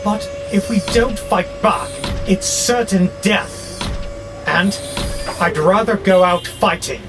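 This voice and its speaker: An actor playing a belligerent, sardonic warehouse robot who grumbles with exasperation in an audio drama. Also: male voice, high voice, takes it slowly, over music. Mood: defiant. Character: grumpy, belligerent male warehouse robot, war-hungry, wary.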